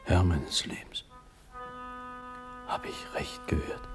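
An actor playing a brooding nobleman in a classical radio drama, speaking inwardly and portentously in a hushed manner. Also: slow, faint music behind, natural voice. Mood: hushed, astonished.

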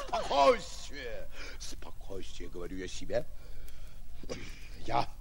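A bombastic would-be adventurer with a very gravelly voice. He declaims slowly and theatrically, leaping wildly in pitch, in the manner of an aged 1940s radio play. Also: wildly swooping pitch, a little hiss or hum, heavily acted. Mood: suspenseful bragging. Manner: pompous, bombastic, theatrical storytelling. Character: bragging, excitable provincial would-be lion hunter.

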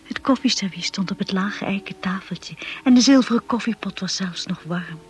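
Radio drama lead: actress playing dreamy young woman remembering, breathy and hushed, mid-pitched, wistful and shy. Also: moderate pace, close to an everyday voice. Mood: tense wonder.

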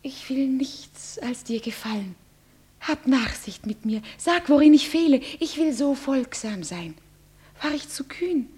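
A woman playing a fearful, devoted young bride, speaking verse in a breathy, often whispered voice. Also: sits high, animated, a little slow, a little mellow, heavily acted. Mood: pleading.

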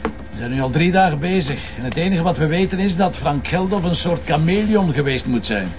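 Male actor as sceptical Flemish commissioner, slightly high voice, colloquial, probing, crime drama, muffled with faint noise. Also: lively intonation, fairly quick, natural voice. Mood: brisk, musing. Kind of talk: naturalistic crime drama acting.

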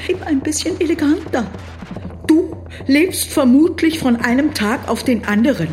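A woman playing a brash, vain provocateur in a radio play. Her fairly high voice darts up and down as she teases and mocks, with faint music behind. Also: expressive, moderate pace, darkish tone. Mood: haughty, intimate. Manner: mocking, chatty, provocative. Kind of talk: German radio drama acting.

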